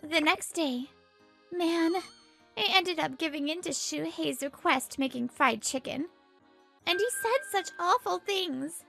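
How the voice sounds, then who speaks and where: A woman's voice: very high-pitched, a little slow, vocal fry, fairly bright tone, faint music behind, full character voice. A woman playing an exasperated young pregnant housewife in a manga dub, complaining expressively and narrating her troubles. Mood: aggrieved.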